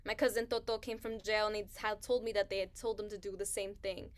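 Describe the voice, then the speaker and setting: An actress in a staged reading playing a grieving young pregnant woman who talks in halting, hushed phrases. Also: mid-range voice, little pitch movement, natural voice. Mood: hushed grief. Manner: halting, hushed, searching for words.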